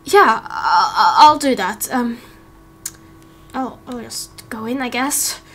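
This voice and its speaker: A woman's voice: on the high side, expressive, slow pace, sharp tone, a little hiss or hum, full character voice. A woman voicing a timid, anxious young man in a game roleplay, speaking hesitantly with stammers. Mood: nervous. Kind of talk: amateur game roleplay acting.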